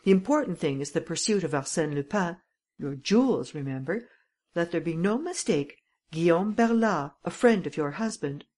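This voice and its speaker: A woman voicing a cool, cunning gentleman thief who gives brisk, commanding instructions. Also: lowish voice, expressive.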